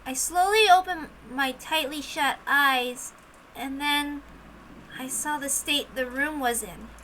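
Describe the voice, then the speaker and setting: A woman reading a visual novel aloud in an expressive, informal way, dramatic in narration and casual in asides. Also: sits high, slow pace, a little hiss or hum, low-quality recording.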